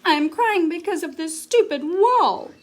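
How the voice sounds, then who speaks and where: A woman's voice: very high voice, expressive, unhurried, voice pushed up. A woman voicing a young princess, melodramatic and wailing over her lost love. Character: lovesick young princess, whiny and theatrical.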